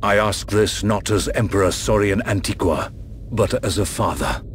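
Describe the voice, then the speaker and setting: A man playing a solemn, elderly emperor and father in a video game, speaking in a formal, measured way. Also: on the low side, slight background noise, lightly acted. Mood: solemn, gentle. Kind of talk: dramatic video game cutscene acting.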